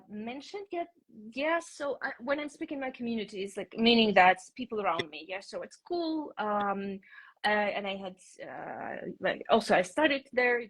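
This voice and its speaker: A woman in her thirties, a Russian speaker, talks through her answers on a phone livestream. Her voice sits a little high and has a husky edge. She hesitates often and restarts her sentences as she thinks. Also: poor audio. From Crimea, Ukraine. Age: 30s. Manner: thoughtful, hesitant, many fillers and restarts. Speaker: guest English teacher. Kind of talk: casual interview chat. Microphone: phone on a live video stream.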